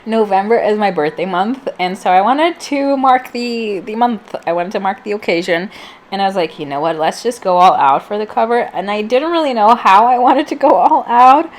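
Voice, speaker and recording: A woman's voice: mid-range voice, normal speed. Chatty woman vlogger with allergy-affected voice. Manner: informal, rambling, self-deprecating, filler-heavy. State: slightly off voice from allergies. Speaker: vlogger, channel host. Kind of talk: casual chatty voice-over for a craft video.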